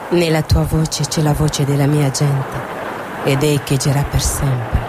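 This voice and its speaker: An actor playing a gentle, solemn spirit who comforts the dead in measured, poetic stage speech. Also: male voice, a little high, little pitch movement, lightly acted. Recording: clearly noisy background.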